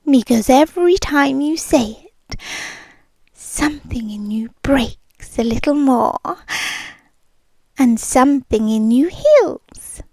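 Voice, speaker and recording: A woman's voice: high voice, animated, no room sound, full character voice. Woman playing a whispering possessive British girlfriend. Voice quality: whispery, soft and breathy. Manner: slow, intimate, menacingly sweet. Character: possessive obsessive rich young girlfriend, coldly doting. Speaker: ASMR roleplay performer. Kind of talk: ASMR roleplay. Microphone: close microphone.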